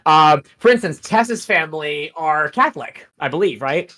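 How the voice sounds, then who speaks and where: Bright, higher-pitched man chatting thoughtfully. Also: expressive, normal speed. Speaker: guest author. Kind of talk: casual livestream interview.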